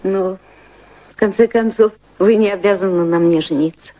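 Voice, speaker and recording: A female actor plays a proud, romantic young society lady in a radio drama. Her voice is mid-pitched and warmly muted. She talks formally and frankly, in measured phrases. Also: close to an everyday voice, low-quality recording. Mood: frank, gentle. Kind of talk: radio play acting.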